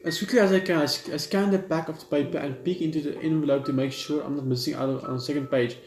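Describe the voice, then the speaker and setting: A young man hosting a gaming video, reading story text aloud haltingly and stumbling over words. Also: on the high side, steady tone, darkish tone. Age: young adult. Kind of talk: let's play, reading visual novel text aloud. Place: indoor room at home. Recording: roomy echo under game music.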